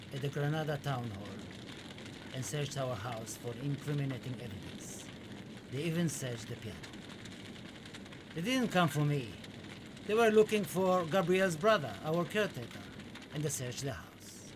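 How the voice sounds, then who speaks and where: Behind clear background noise comes a man playing a haunted Spanish poet in a radio play. His voice sits a little high. He delivers his monologue with deliberate, dramatic, poetic phrasing. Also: lively intonation. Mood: grave, anxious.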